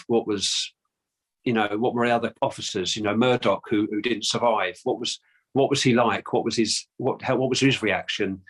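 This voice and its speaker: A man with a London accent answering interview questions casually, hesitant with many restarts and fillers. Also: lowish voice. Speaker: guest, descendant of a Titanic crewman.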